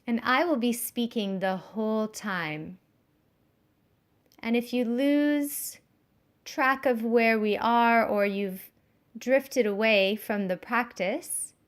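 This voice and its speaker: A woman giving guided yoga nidra instruction in a soothing, measured, gently instructive way. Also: fairly high pitch, expressive.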